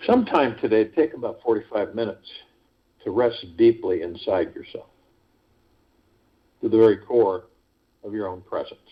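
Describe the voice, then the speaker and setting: A man hosting a guided meditation call, speaking in a measured, deliberate way with pauses between phrases, over a phone conference line. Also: on the low side, expressive, slightly rough. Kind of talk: guided meditation talk, reading prepared spiritual text. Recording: muffled, lo-fi conference call audio.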